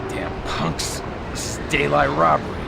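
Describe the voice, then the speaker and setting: A jittery street arms dealer in a game, gravelly and swinging widely in pitch. He talks in excitable slang amid loud street noise. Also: a little slow, heavily acted. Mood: indignant, panicked.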